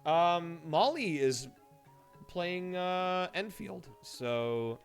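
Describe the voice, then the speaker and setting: A man's voice: sits high, animated, very slow. A man doing casual live game-stream commentary, rambling playfully with dry asides. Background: music.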